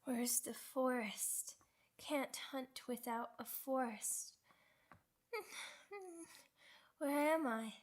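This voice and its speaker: A young werewolf pup girl, played by a woman in an ASMR roleplay, speaking very slowly in a fairly high voice. She is timid and sweet, with hesitant, childlike phrasing and small stammered repeats. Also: fairly even intonation. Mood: dazed, hungry, struggling.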